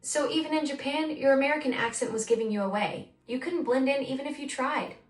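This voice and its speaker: A woman's voice: high-pitched, a little fast. Effusive AI woman's voice, roomy and distant. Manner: effusive, eager, praising. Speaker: AI voice assistant co-host. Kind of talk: conversational chat. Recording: roomy voice from off the mic.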